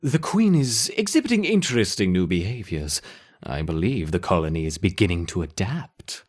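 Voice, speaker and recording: Doting nerdy scientist guy for a game, husky, kinda stammery, really animated. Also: low voice, moderate pace. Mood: reporting keenly. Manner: hesitant, stammering, fussing over his subjects. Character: eager, doting male scientist tending an ant colony. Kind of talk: video game voice acting.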